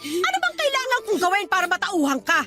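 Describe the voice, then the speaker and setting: A woman's voice: very high voice, sing-song, quick pace, sharp tone, faint music behind, exaggerated voice. A woman playing a blunt, exasperated mother scolding her grown daughter in a radio drama. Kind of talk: Tagalog radio drama acting.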